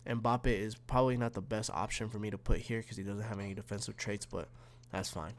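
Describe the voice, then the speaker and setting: A man with a slightly raspy, snuffly voice from being sick, casually walking through football game tactics with lots of fillers and restarts. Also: lowish voice, fairly even intonation, fairly quick. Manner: rambling, many fillers and restarts. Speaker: gaming YouTuber.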